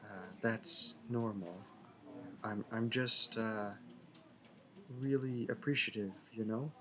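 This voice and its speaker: A man's voice: on the low side, slow pace, sharp tone. A man playing a shy, smitten young library worker in a roleplay audio, nervous and awkward, stammering and correcting himself. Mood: awkward, wistful. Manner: stammering, hesitant, self-correcting. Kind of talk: intimate roleplay audio acting.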